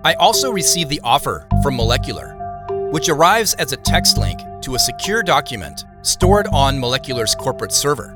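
A man's voice: medium pitch, sing-song, normal speed, over loud music, close to an everyday voice. An actor playing a reflective man who narrates his own story in an audio drama, matter-of-fact and confiding. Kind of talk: first-person scripted drama narration.

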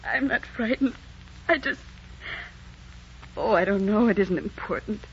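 A woman's voice: mid-range voice, animated, measured pace, slight background noise. An actress playing a troubled, secretive young woman of the northern wilds in earnest, hesitant 1940s radio drama style. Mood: distressed, reluctant. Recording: muffled 1940s radio recording.

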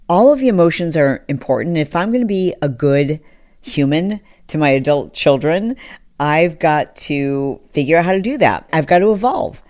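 A woman co-hosting a podcast, chatting candidly and openly with many fillers, her voice deepened by a partly paralysed vocal cord. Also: darkish tone. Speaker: podcast co-host. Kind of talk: casual chat.

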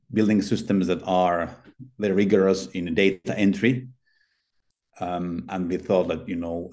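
A man's voice: sits low, a little mellow. A middle-aged man from Finland, speaking as a podcast guest in a hesitant way, with many fillers and restarts. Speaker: podcast guest, healthcare consultant. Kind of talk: casual interview talk.

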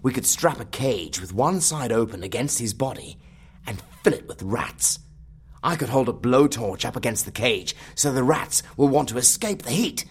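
A man's voice: medium pitch, expressive, normal speed, husky. An actor playing a gleefully sadistic man in an audio comedy drama, earnestly relishing grisly detail. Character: gleefully sadistic man devising elaborate tortures.

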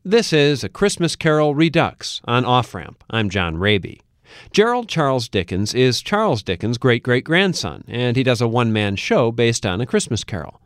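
Lively male radio host. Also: medium pitch, normal speed, no room sound, clean studio sound. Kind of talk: radio show introduction.

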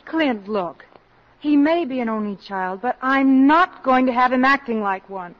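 A woman's voice: sits high, lively intonation, a little slow. A woman acting a practical, teasing wife and mother in a 1950s radio drama, with wry, affectionate nagging. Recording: muffled vintage radio broadcast.

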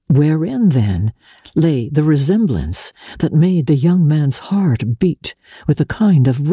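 Woman narrator for classic novel: dark, husky voice, close dry sound, expressive measured storytelling. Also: deep voice, no echo. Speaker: audiobook reader, show host. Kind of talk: dramatic reading of classic literary fiction.

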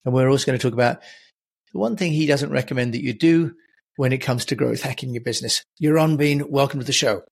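A male podcast host introducing his guest and asking measured questions, with frequent "you know" fillers. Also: medium pitch, gravelly, breathy edge. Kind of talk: interview hosting.

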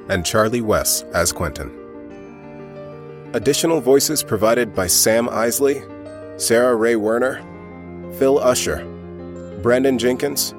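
A man announcer reading scripted credits at the end of an audio drama podcast. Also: fairly low pitch. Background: music.

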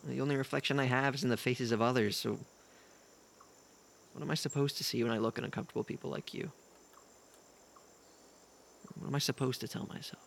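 Man acting creaky-voiced, apologetic young misfit. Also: mid-range voice, steady tone, fairly quick, long pauses, fairly bright tone, a little hiss or hum. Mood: wounded sincerity. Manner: earnest, hesitant, pleading. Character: gentle, apologetic, self-doubting young male misfit. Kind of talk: audio drama acting.

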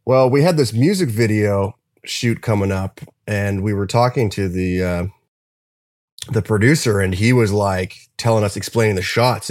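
A man's voice: lowish voice, no echo, studio quality. A man talking as a guest in a casual interview, candid and rambling, swearing now and then. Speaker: guest drummer.

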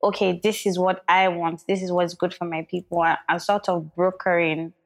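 A woman's voice: fairly low pitch, little pitch movement, normal speed. Reflective woman co-host. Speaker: podcast co-host. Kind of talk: casual chat.

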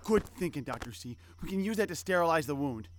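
A woman playing a childlike, scatterbrained, plucky misfit in a comic audio drama, excitable and naive. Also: deep voice, quick pace, noisy background. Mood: eager, concerned.

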